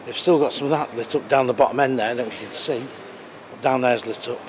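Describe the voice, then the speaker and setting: Croaky British man vlogging in a noisy hall. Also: mid-range voice, fast talker. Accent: British English. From United Kingdom. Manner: chatty, rambling, with many ums. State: croaky from a cold. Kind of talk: casual walk-and-talk vlog. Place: exhibition hall foyer, indoors.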